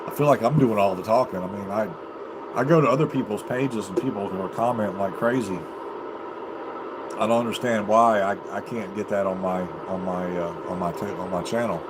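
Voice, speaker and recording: An American man with a lowish voice rambles casually to his livestream viewers. He takes his time, pausing and restarting as he thinks aloud. Loud, steady noise runs behind his voice. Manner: halting, unhurried, thinking aloud. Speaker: amateur livestreamer and CB radio hobbyist. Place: home basement.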